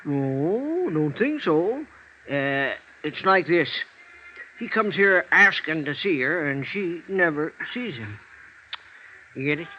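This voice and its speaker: A man's voice: on the high side, big pitch swings, takes it slowly, muffled recording. An actor playing a cagey, chatty old stage doorman in an old-time radio drama, hesitant and folksy, full of hems and haws. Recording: vintage radio recording, band-limited, faint noise.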